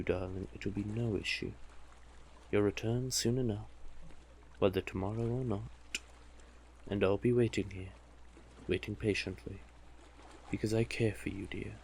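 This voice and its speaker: A man's voice: on the low side, a little hiss or hum. A man voicing a doting, possessive, manipulative male sea witch in an intimate audio roleplay, coaxing the listener tenderly. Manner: coaxing, unhurried, tender with pet names.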